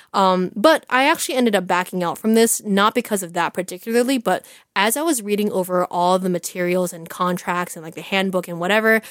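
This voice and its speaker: A woman who teaches Chinese, telling a personal story in a relaxed, candid way with many "like" and "you know" fillers. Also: medium pitch, no echo, studio quality. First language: English. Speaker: Chinese language teacher, podcast guest. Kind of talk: casual conversational storytelling.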